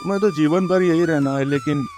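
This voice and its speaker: A man playing a henpecked young husband, hesitant and pleading, in an animated moral story. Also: a little high, fairly quick, close to an everyday voice. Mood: nervous, placating. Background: music.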